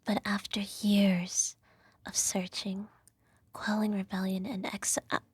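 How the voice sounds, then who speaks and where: A woman playing an evil empress and doting adoptive mother, telling a bedtime story in a breathy ASMR whisper with formal, measured diction. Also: medium pitch, flat delivery, a little mellow, no room sound. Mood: hushed, solemn storytelling.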